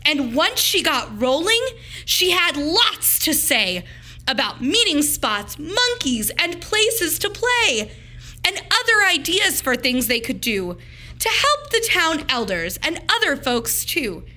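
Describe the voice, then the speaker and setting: A woman teacher reading a children's storybook aloud with dramatic, deliberate, expressive phrasing. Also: high-pitched.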